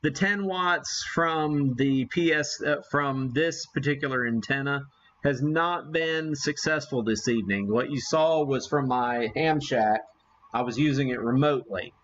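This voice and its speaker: A man's voice: mid-range voice, measured pace, fairly bright tone, muffled recording. A man hosting a casual live hobby demonstration, chatting in a folksy, self-deprecating way. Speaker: live stream host, amateur radio hobbyist.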